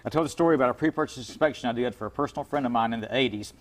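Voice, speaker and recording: A man's voice: medium pitch, rapid-fire, poor audio. A man who owns an import car shop, giving folksy, plain-spoken advice as a guest in a TV interview, with frequent restarts.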